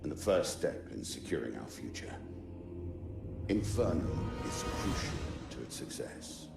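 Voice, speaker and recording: Older imperial admiral, game villain: clipped, commanding, gravelly, very low, in echoing space over score. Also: male voice, sing-song, unhurried, heavily acted. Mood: grim command. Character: stern older male imperial admiral, cold father. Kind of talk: cinematic video game acting. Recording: echoey hall, game music behind.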